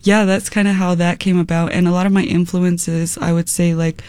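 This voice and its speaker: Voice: medium pitch, moderate pace, clean tone, dry recording. Laid-back singer with many fillers. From United States, Mexican American. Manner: relaxed, rambling, many fillers. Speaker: guest singer-songwriter. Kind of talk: casual interview chat.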